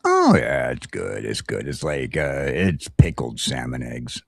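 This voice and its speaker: A man's voice: a bass voice, huge pitch swings, measured pace, vocal fry, dry recording, clean studio sound. A middle-aged American man telling stories in casual chat, with a blunt, folksy, sweary manner. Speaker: talk show host.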